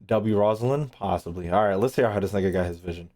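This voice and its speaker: A man's voice: lowish voice, fast, dark tone. A man giving casual, slangy, profane reaction commentary as a gaming video host, often with short muttered remarks.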